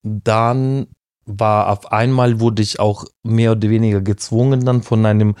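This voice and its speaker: Deep-voiced man. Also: steady tone, measured pace, darkish tone, clean tone, no echo, studio quality. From Ukraine. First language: Russian. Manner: thoughtful, searching for words with many restarts. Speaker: podcast guest, founder. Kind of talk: casual conversation.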